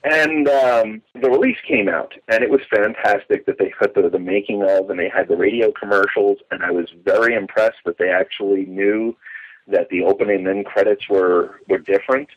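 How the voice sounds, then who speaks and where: Muffled, husky low-voiced man reminiscing. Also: normal speed. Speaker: interview guest. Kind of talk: conversational reminiscing about radio drama. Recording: muffled, band-limited phone line.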